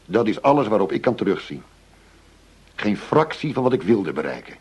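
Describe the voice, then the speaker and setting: An actor playing an earnest, driven middle-aged police chief inspector in a radio drama, speaking formally, insistently and with measured phrasing. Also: male voice, fairly low pitch, sing-song, a little mellow, slightly rough. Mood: determined, insistent.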